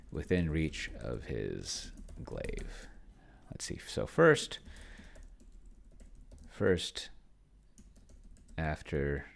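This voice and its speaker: Male gamer-host, very low gravelly register, unhurried, halting delivery, muttering through his moves as he works them out. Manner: halting, thinking out loud, muttering to himself. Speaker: solo tabletop gamer, video host. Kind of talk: casual think-aloud gameplay commentary while typing.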